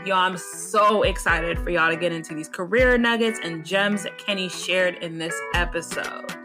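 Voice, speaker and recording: A woman's voice: lowish voice, normal speed, over music. A young woman hosting a podcast, talking in an upbeat, effusive way with casual slang. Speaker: podcast host. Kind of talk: casual interview chat.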